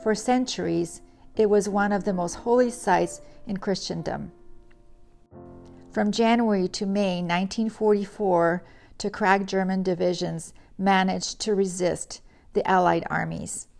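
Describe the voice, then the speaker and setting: Middle-aged woman, craft tutor voice-over, measured and earnest, gravelly tone, faint music bed. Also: mid-range voice, fairly even intonation, darkish tone. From Poland. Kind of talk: read voice-over narration.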